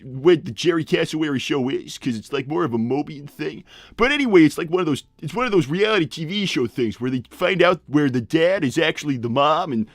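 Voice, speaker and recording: A man's voice: fairly high pitch, no echo, clean studio sound. A man voicing a glib, tactless cartoon animal boss who rambles breezily through improvised live roleplay. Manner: rambling, blunt, breezy, many digressions.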